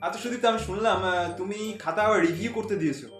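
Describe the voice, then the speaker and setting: A young man hosting a comedy roast show with energetic scripted commentary. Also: high voice, racing. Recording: roomy echo, loud music behind. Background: music.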